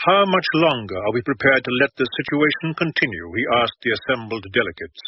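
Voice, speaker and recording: Husky, sober radio newsreader man. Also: medium pitch, big pitch swings, brisk, dry recording. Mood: formal, grave. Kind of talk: scripted news bulletin in radio drama. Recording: dull, lo-fi old radio recording.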